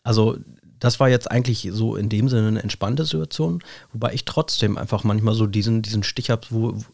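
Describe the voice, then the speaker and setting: A man, hoarse with a cold, musing in a relaxed chat and padding his talk with fillers and tag questions. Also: fairly low pitch, fairly quick, no echo, studio quality. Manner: thoughtful, rambling, many fillers and tag questions. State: hoarse with a cold, scratchy throat. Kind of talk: reflective casual chat.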